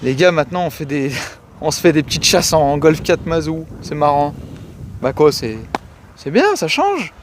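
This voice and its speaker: A man's voice: a little high, very animated, normal speed. A man hosting a car video, chatting and commenting casually in slangy, informal banter. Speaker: host of a car video channel. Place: in and around cars on the road. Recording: loud car and road noise. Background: engine and traffic noise.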